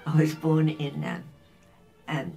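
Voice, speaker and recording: A woman's voice: low-pitched, steady tone, slow pace, gravelly, dark tone, faint music behind. An Australian woman in her late eighties introducing herself in an oral history interview. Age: 80s. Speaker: local resident interviewee.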